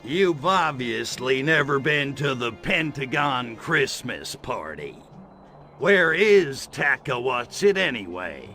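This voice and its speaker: Cocky gravelly little alien. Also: male voice, mid-range voice, very animated, takes it slowly, bright, forceful tone, faint music behind, exaggerated voice. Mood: dry, smug. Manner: wisecracking, slangy, brash swagger. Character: cocky, lecherous little male alien invader. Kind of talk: video game cutscene voice acting.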